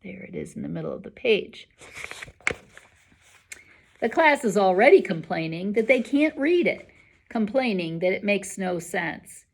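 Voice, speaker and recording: A woman reading a children's novel aloud like a teacher, expressive and emphatic with clear enunciation. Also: medium pitch, measured pace, pauses often, a little hiss or hum. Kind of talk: expressive storybook read-aloud.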